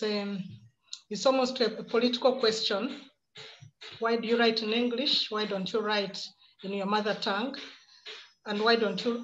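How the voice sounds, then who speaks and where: A Ugandan woman in her fifties speaking on a video call from home, answering a panel question in a measured, reflective way and repeating words as she thinks. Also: on the high side, fairly bright tone, a little hiss or hum. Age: 50s. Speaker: panelist writer. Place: home room with bookshelves. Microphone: laptop camera on a video call. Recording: muffled video-call audio.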